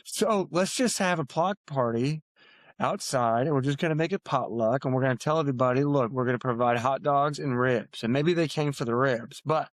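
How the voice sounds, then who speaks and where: A man's voice: mid-range voice, normal speed, no echo. A man speaking as a guest author in an interview, in a reflective, storytelling way with emphatic points. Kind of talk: earnest conversational interview answers.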